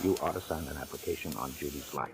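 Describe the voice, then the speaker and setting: A man's voice: extremely low pitch, quick pace. An actor playing a pushy, flustered life insurance salesman in 1940s radio style, rambling and stammering as he wheedles. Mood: pushy, wheedling. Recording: noisy vintage radio drama recording.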